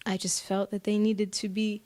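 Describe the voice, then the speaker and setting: An American woman speaking casually in an interview as a singer-songwriter, thoughtful and halting, often pausing mid-phrase. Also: medium pitch, little pitch movement, a little mellow. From United States. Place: apartment.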